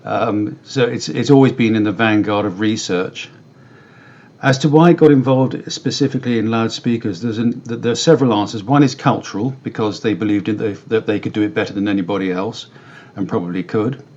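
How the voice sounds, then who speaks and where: A British man giving measured, articulate explanations as an expert guest in a conversational interview. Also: lowish voice, a little mellow, muffled recording. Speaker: company director interviewed as guest.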